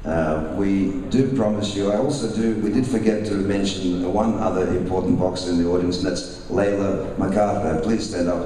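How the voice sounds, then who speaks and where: Low-voiced man in an echoey hall. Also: noisy background. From Russia, raised in Australia. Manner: halting, restarts sentences, formal introductions. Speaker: boxing promoter. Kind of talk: press conference announcing. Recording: echoey hall, mic far off.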